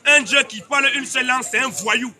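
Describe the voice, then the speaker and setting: A man's voice: extremely high pitch, brisk, pushed and bright, soft background music. A man preaching in the open air on a city street, speaking French as a second language, emphatic and repetitive, challenging the passers-by. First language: another language, French spoken as second. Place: busy city street outdoors. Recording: street noise behind.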